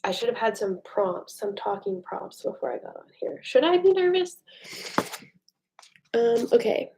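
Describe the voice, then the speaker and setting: A woman's voice: on the high side, big pitch swings, measured pace, dark tone, rough recording. A woman from the United States chatting casually with viewers on a livestream, reading their comments aloud and joking at her own expense. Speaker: livestream host. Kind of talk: casual live chat with viewers. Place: home at night.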